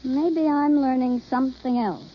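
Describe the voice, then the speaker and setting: A woman's voice: high voice, fairly even intonation, unhurried. An actress playing an eager, lovestruck young woman who studies acting, with coy, theatrical delivery in vintage radio drama style. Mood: eager, probing. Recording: dull old radio broadcast recording.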